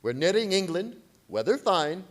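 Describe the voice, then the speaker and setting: An actor playing a fearful ship's captain in a radio play, reading out log entries in terse, dramatic fragments. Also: male voice, high voice, sing-song, moderate pace. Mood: grim. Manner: terse, telegraphic, dramatic delivery.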